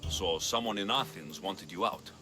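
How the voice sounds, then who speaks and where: Actor as a low-voiced, wry Greek mercenary. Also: male voice, normal speed, close to an everyday voice. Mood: guarded, sardonic. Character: young Greek mercenary, bold, wry and blunt. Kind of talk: video game cutscene dialogue. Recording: music behind the voice.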